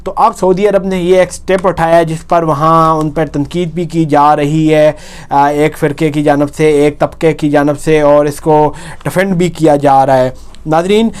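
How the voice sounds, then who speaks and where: A man's voice: on the high side, steady tone, measured pace, a little mellow, clean tone. A man with a Pakistani accent presenting news with explanatory commentary, often rephrasing and repeating his points.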